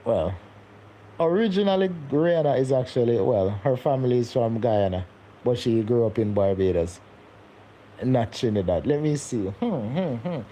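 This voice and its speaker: A young man chats loosely on his live stream, looping back over his words as he talks. His voice is mellow and dark, with a faint hum of background noise. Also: mid-range voice, animated, normal speed, low-quality recording. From Jamaica. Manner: rambling, repeats words, laughs often. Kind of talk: casual live-stream chat reading comments.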